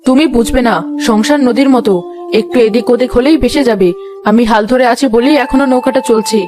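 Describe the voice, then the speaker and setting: A woman's voice: a little high, fairly quick, close to an everyday voice. A woman voicing a bossy, nosy, middle-aged mother-in-law in a cartoon, accusing and dramatic. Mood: scolding. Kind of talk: animated comedy story acting. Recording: loud music behind the voice. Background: music.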